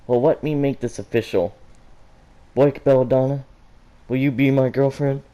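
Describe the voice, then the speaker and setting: A man voicing a lovestruck, excitable teenage girl in an amateur storybook reading, with eager, casual delivery. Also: mid-range voice, normal speed, highs cut off, pitched above the performer's own voice, lightly acted. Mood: confident.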